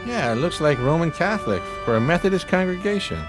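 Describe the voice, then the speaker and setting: A man's voice: on the high side, animated, fast, close to an everyday voice. An actor playing a grandiose, dreamy homeless man with florid, theatrical speech in a radio play. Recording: music playing behind the voice.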